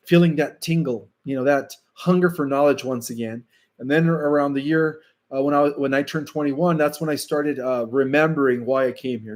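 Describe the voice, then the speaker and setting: A man whose first language is Spanish, hosting a casual livestream and answering viewer questions in a slow, deliberate way with frequent fillers. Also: a little high, darkish tone.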